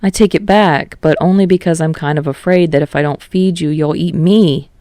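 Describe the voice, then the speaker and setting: A woman's voice: sits low, normal speed, warm tone, no echo, clean studio sound, close to an everyday voice. A woman voicing an easygoing, sheepish teenage boy in a fan fiction audiobook, casual and good-natured. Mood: relaxed, teasing.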